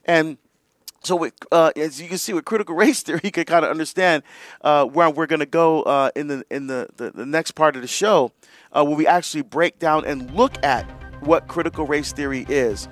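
Relaxed talk-radio host, higher voice. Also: male voice, moderate pace, no echo, soft background music. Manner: relaxed explaining with fillers and laughs.

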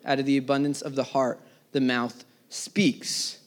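A man in his thirties, a pastor, preaching a sermon to a live congregation, rising in emphasis and then dropping to quiet words at the ends of phrases. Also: medium pitch, level tone, unhurried. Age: 30s. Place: church service before a live congregation.

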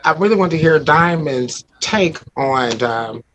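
Male podcast co-host, slightly high voice, relaxed and hesitant. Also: measured pace, a little hiss or hum. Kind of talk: casual chat.

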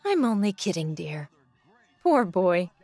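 An actress playing a warm, worried older mother with dry wit in a science fiction audio drama. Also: fairly low pitch, very animated, slow, no echo, full character voice. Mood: teasing, fond.

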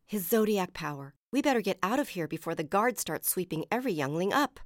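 A woman's voice: mid-range voice, expressive, brisk, no echo, clean studio sound, pitched above the performer's own voice, natural voice. A woman voicing a tense, urgent, protective young girl in an audiobook. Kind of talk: audiobook character voice.

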